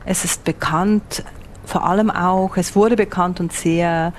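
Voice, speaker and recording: A woman correspondent with a Swiss accent speaks in a fairly low voice. She explains and describes vividly, reworking her phrases as she goes. A little ambient noise sits behind her. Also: steady tone, slow pace. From Switzerland. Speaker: radio foreign correspondent. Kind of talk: interview answers, descriptive reporting.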